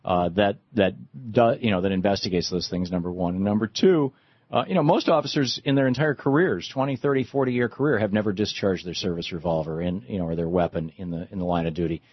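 Low-voiced male talk radio host. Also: quick pace, breathy edge, dry recording. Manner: agreeable, thinks aloud with restarts. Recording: muffled radio broadcast.

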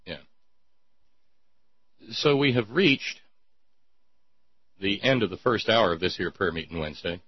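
A man's voice: fairly low pitch, lively intonation, measured pace, pauses often, breathy edge, dry recording, muffled recording. A man hosting an irreverent talk show, reading listener notes aloud with a mocking, sardonic edge.

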